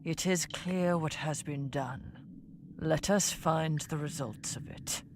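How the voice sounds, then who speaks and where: Woman acting a slow, imperious vampire mistress. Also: low voice, a little hiss or hum. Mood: commanding. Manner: commanding, deliberate. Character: imperious, stern vampire mistress who commands obedience. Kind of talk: fantasy audio drama acting.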